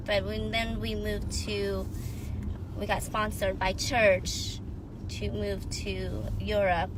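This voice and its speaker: Slow-talking giggly woman, road noise. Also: mid-range voice. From born in Thailand, raised in US. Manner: giggly, slow, soft-spoken. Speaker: guest. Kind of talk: casual interview.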